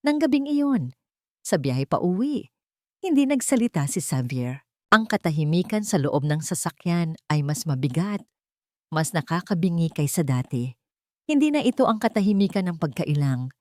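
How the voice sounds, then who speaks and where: A woman's voice: low voice, a little mellow, dry recording, studio quality. A woman storyteller reading a scripted romantic drama as a narrator.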